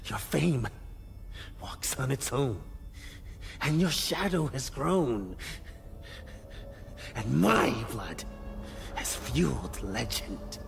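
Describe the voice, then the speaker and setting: A man playing a cryptic, reverent wasteland figure in a video game, speaking in halting, portentous, theatrical phrases. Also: medium pitch, big pitch swings, creaky, soft background music, full character voice. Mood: ominous, reverent. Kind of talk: video game character acting.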